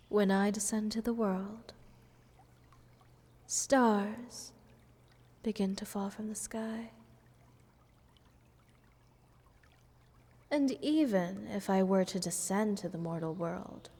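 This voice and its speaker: A woman's voice: mid-range voice, slow pace, pauses often, warm tone, husky. A woman playing a playful, selfish goddess in a fantasy audio roleplay, talking down to a little mortal in a teasing, condescending way with whispered phrases. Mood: possessive, gloating. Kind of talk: scripted fantasy audio roleplay addressed to the listener. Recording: long hall-like reverb.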